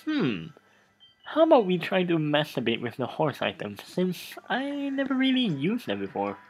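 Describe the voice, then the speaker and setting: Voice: mid-range voice, huge pitch swings, moderate pace, breathy edge, poor audio. A let's play host commenting on a game and reading its text aloud in a theatrical, stumbling way.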